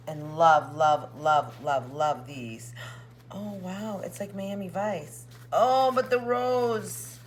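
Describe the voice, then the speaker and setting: A woman hosting a casual craft vlog, a little hoarse, chatting excitedly as she opens a package. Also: medium pitch, a little hiss or hum. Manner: chatty, excitable, jokes with a pet. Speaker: craft channel host.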